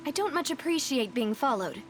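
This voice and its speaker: Resolute young gang leader, high voice, music bed. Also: female voice, big pitch swings, moderate pace. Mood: firm. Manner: earnest and firm. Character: determined young woman leader, guarded. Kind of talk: video game voice acting. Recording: game audio with music behind.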